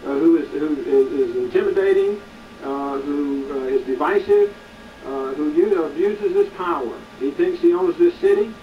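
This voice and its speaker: A man, a community activist hosting a local show, gives an indignant, preachy commentary with many hesitations. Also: on the high side. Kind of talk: editorial commentary to camera. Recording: distant, dull, slightly noisy recording.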